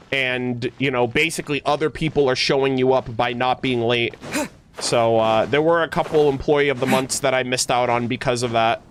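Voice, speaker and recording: A man's voice: mid-range voice, a bit bright. A man chatting casually as a livestreamer, reminiscing and answering viewers in a rambling, conversational way peppered with "like". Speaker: video game streamer. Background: background music.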